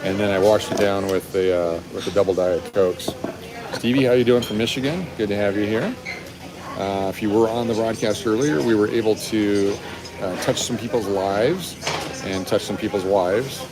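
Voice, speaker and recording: A man in his fifties chatting casually and rambling a little as he hosts a live stream, recorded on a phone indoors. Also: normal speed. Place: busy restaurant, indoors. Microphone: phone held at arm's length. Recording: restaurant chatter and rumble behind voice.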